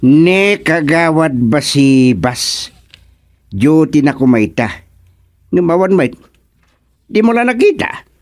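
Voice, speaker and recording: A man's voice: on the high side, sing-song, slow pace, long pauses, no room sound, clean studio sound. A man playing an exasperated village chairman in an Ilocano radio comedy drama, with an Ilocano accent and conversational interjections. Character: male barangay chairman, exasperated with a lazy councilman.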